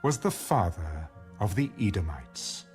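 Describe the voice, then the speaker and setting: Deep, slow male scripture narrator over music. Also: big pitch swings, darkish tone. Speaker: audio Bible narrator. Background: music bed.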